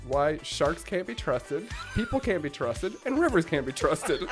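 A woman's voice: deep voice, sing-song, measured pace. A middle-aged woman co-hosting a podcast with casual, joking banter. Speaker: podcast co-host. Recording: loud music under the voice.

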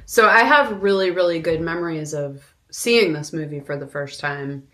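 A woman's voice: deep voice, normal speed, a bit bright. A woman co-hosting a podcast, talking about film in a casual, informal, conversational style. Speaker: podcast co-host.

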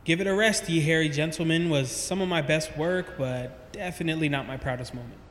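A man's voice: on the high side, a little fast, roomy sound, in a large hall, slight background noise, lightly acted. An actor playing a middle-aged, self-deprecating estranged father in an audio drama, dry and hesitant. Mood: dry, rueful. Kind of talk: naturalistic audio drama acting.

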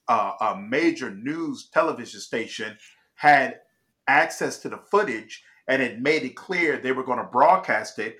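A man from Chicago giving casual, emphatic political commentary as host, often pausing to check in with "all right?". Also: mid-range voice, sharp tone.

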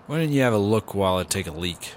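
An uptight, practical worrier from a comedy radio play, played by a man. His voice sits fairly low and sounds wary and exasperated. Faint noise sits behind the dialogue. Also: expressive, fast, lightly acted. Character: uptight, anxious, practical male partner prone to paranoia. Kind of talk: sci-fi comedy audio drama acting.

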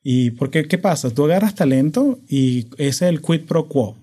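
A man in his thirties with a Venezuelan accent, hosting a casual podcast with energetic, hyperkinetic talk that mixes in English words. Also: a little high, moderate pace, breathy edge. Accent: Venezuelan Spanish. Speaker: podcast host. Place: home podcast studio. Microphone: studio microphone.

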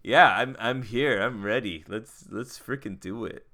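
A man's voice: mid-range voice, unhurried, pushed and bright. A man playing a tabletop game, chatting in a slangy, rambling, playful improvised role-play style.

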